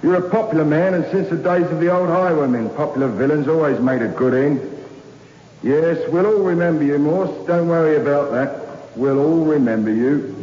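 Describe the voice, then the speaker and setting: An actor playing a hard-nosed prison guard, blunt and matter-of-fact, in a 1960s radio drama. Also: male voice, fairly high pitch, moderate pace, warm tone, natural voice. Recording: echoey, dull old radio recording.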